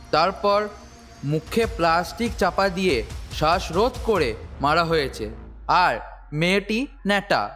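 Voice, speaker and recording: A man's voice: high-pitched, fairly bright tone. An actor voicing a deferential male police subordinate who reports case details to his superior, in an audio crime drama. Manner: deferential, reporting, matter-of-fact. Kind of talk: Bengali audio drama acting.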